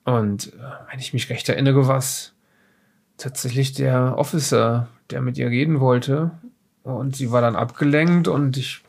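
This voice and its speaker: A man playing a haughty, evasive witness under police questioning in an audio drama, halting and correcting himself as he talks. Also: medium pitch, fairly even intonation, vocal fry, a little mellow. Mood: evasive, guarded. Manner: hesitant, halting, indignant, with self-corrections. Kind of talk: improvised in-character audio drama dialogue.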